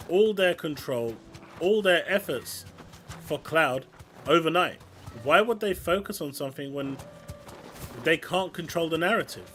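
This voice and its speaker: A man's voice: a little high, fairly bright tone. A man with a London accent chatting casually and opinionatedly while streaming a video game. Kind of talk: casual chat while gaming. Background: game music.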